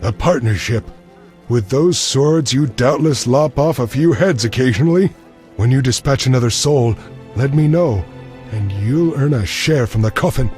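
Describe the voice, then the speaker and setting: A man's voice: medium pitch, moderate pace, a little mellow, soft background music, close to an everyday voice. A man playing a shady, morbid undertaker in a video game, sly, mercenary and blasé. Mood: scheming.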